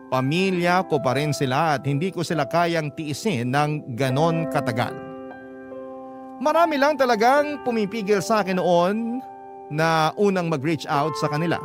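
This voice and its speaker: A man with a Filipino accent reading a letter as scripted, sentimental radio drama narration. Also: on the high side. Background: prominent music.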